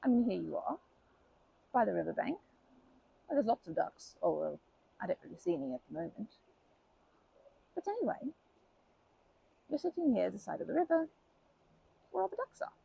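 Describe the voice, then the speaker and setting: A woman playing a kindly, protective grandma in an ASMR comfort roleplay, speaking gently and hesitantly with fond pet names. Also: fairly high pitch, sing-song, normal speed, pauses often, dull audio, heavily acted. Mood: tender, encouraging. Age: older. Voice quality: creaky, husky.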